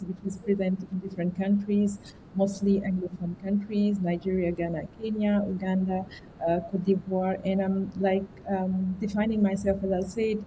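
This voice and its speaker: A woman's voice: medium pitch, highs cut off, noisy background. A woman who leads a media platform, introducing herself on a webinar in a playful, cheerful way with filler words. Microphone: video call.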